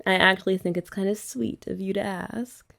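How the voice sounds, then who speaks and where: A woman's voice: mid-range voice, steady tone, normal speed, gravelly, fairly bright tone. A young woman chatting playfully to a partner in an ASMR roleplay, teasing and laughing often. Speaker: ASMR creator. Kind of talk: scripted ASMR roleplay as a girlfriend.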